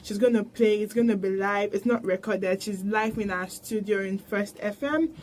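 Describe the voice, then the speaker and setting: A woman's voice: mid-range voice, normal speed, a little mellow. A woman hosting a radio interview in a studio who reacts with enthusiasm and keeps saying "wow". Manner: enthusiastic, says "wow" again and again. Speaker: radio host.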